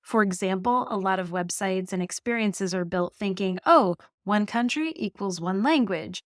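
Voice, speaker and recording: A middle-aged woman from Canada, a marketing executive telling stories in a podcast interview, animated and laughing often. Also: medium pitch, moderate pace, no echo, studio quality.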